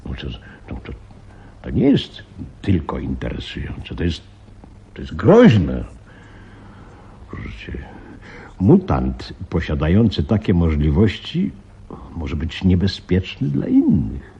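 An actor playing a flustered, grave veteran police commissioner in a radio play, husky and halting, repeating himself. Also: male voice, low voice, huge pitch swings, dark tone, full character voice. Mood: flustered, alarmed. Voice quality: husky, breathy. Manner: halting, muttering, repeats himself, dramatic emphasis. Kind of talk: Polish radio play acting. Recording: slightly dull radio play recording.

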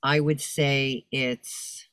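An older woman with a deep, commanding announcer's voice talks in a big, projecting way. She chats about her work over a video call from home, and the sound is dry and close. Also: little pitch movement, extremely slow, a bit bright, no room sound. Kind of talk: casual interview talk. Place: home room with fur-draped chair.

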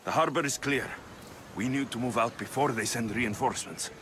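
A man's voice: medium pitch, brisk, fairly bright tone, slight background noise, natural voice. A man playing a stoic, determined Greek mercenary in his thirties, terse and brooding, in a video game. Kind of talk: dramatic video game acting. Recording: music behind.

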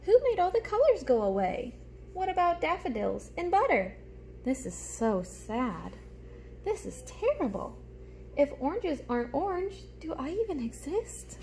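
A woman's voice: high-pitched, very animated, measured pace, a little mellow, voice pushed up, full character voice. A young woman voicing worried, earnest little crayons from a storybook, exclaiming plaintively. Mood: upset. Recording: clear background noise, room ambience.

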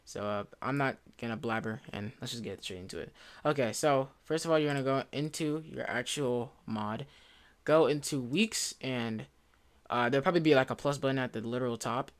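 A young man giving a casual software tutorial in an informal, rambling way, often correcting himself. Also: medium pitch, fairly quick. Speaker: tutorial presenter. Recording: music behind, keyboard clicks. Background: music, computer typing and clicks.

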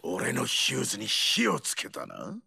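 Gravelly, swaggering old brawler. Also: male voice, lowish voice, huge pitch swings, normal speed, sharp tone, exaggerated voice. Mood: mocking, taunting. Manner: rough, swaggering, taunting slang. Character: brash, rowdy, cocky ageing male explosives-loving brawler. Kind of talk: video game character voice lines.

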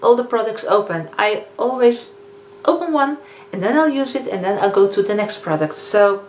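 A woman in her fifties with a Dutch accent, chatting casually as a beauty vlogger reviewing products, often pausing on fillers and correcting herself. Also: medium pitch, lively intonation. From Netherlands. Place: living room, indoors. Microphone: camera in front of a seated speaker. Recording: echoey room with faint hiss.

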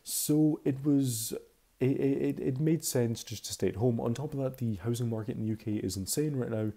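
A man's voice: medium pitch, moderate pace, mellow. A twenty-year-old man with a Scottish accent, giving a casual, chatty and candid voice-over commentary. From Scotland. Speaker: video commentator.

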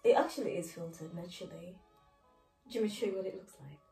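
A woman's voice: on the low side, fast talker, creaky, dark tone, faint music behind. A woman in her twenties quizzing her sister in a casual vlog, teasing and laughing often. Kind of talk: casual chat, quiz game. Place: living room, indoors. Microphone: far camera. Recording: roomy sound from a distant camera.

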